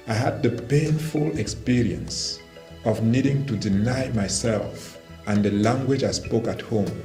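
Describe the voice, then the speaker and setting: A man from Cameroon with Romala as his first language speaks in a low voice, reading a prepared statement with formal, measured care. The sound is roomy and echoing. Faint music plays underneath. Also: darkish tone. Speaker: language development representative. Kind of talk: prepared statement read aloud.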